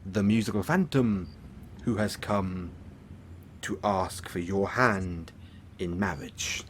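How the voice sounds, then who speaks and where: Man acting as suave obsessive villain, lowish voice, unhurried, lonely, theatrical, breathy near-whispers, audio roleplay, noisy background. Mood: suave, ominous. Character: lovesick, lonely supervillain, suave musical phantom, obsessive and theatrical.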